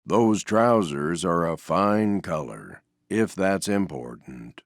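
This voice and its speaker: A glum, gentle stuffed donkey from a video game, speaks in a very low, slow drawl full of gravel. The delivery is deadpan and resigned, often trailing off at the ends. The recording is close and dry. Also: male voice, no echo. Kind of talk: video game character voice lines.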